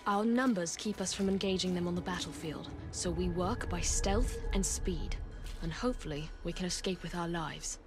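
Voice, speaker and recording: An actress playing a determined, pragmatic woman fighter in a video game, brisk and earnest. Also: lowish voice, over music, lightly acted. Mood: earnest, resolute.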